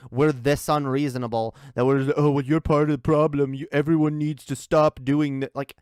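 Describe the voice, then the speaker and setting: A man with a smooth, clear voice of middling pitch, recorded dry and close. He talks as a livestream host reacting off the cuff, rambling, repeating himself and swearing casually. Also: flat delivery, normal speed, a little mellow, dry recording. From Canada. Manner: rambling, repetitive, liberal use of "like" and swearing.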